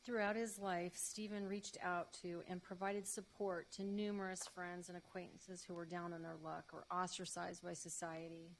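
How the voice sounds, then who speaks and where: A woman hosting a public reading, speaking formally and deliberately. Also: fairly low pitch, flat delivery, a little hiss or hum, low-quality recording. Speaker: state senator, host of the reading.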